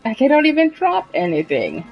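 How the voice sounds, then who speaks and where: A woman's voice: high voice, wildly swooping pitch, normal speed, muffled recording, soft background music. A woman streaming a game, giving casual commentary and reading lines aloud.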